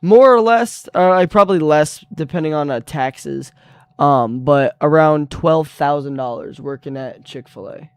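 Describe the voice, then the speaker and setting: Laid-back young man, smooth and close. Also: on the high side, measured pace, dry recording. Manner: relaxed, easygoing, trails off mid-sentence. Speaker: streamer. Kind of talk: casual chat.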